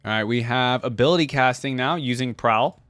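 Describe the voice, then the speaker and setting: A man's voice: medium pitch, normal speed, fairly bright tone, no room sound, clean studio sound. A man hosting a reaction video, giving casual, chatty, easily amused commentary on game voice lines.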